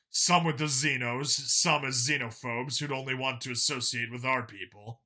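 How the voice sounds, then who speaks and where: A man's voice: medium pitch, sharp tone. A man voicing an earnest, argumentative, world-weary male cop in an audiobook, arguing in long, insistent speeches.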